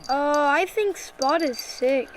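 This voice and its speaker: A woman playing a subdued, sickly young child in a stylized horror drama, speaking simply and haltingly. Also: high-pitched, background noise.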